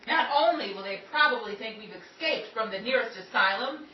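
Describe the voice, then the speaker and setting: A woman's voice: on the high side, animated, normal speed, natural voice. A woman playing an exasperated, sarcastic aspiring Hollywood dancer of the 1930s in an old-time radio comedy style. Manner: sarcastic, punchline-driven complaining. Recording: roomy, distant, dull, noisy amateur recording.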